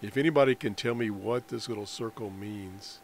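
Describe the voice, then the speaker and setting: An American man giving an unscripted software walkthrough as a radio hobbyist, explaining in a halting way with trailing phrases. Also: fairly low pitch. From United States. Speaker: amateur radio hobbyist presenting a tutorial. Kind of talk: unscripted software screen demonstration. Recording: clear background noise on voice-over.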